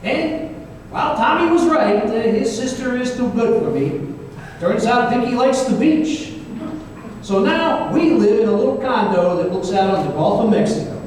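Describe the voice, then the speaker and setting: A man's voice: extremely high pitch, expressive, normal speed, darkish tone. A man playing a wisecracking detective, recounting his adventures in a wry, conversational old-time radio style. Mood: amused. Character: wisecracking male detective recounting a supernatural gunfight. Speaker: actor in a staged radio play. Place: live performance in a reverberant hall. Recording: very echoey hall, distant mic, faint noise.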